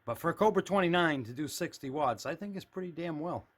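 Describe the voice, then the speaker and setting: A man's voice: a little high, animated, quick pace, fairly bright tone. Plain-spoken man giving a casual tour. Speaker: CB radio hobbyist giving a tour of his radio shack. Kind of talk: casual unscripted show-and-tell commentary. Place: indoor room with shelves of radios.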